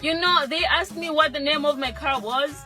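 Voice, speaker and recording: A Zambian woman with a high, bright voice tells a funny story about her own day straight to camera. She talks in a lively, joking way and acts out little bits of dialogue, and faint music plays under her. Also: moderate pace. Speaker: vlogger.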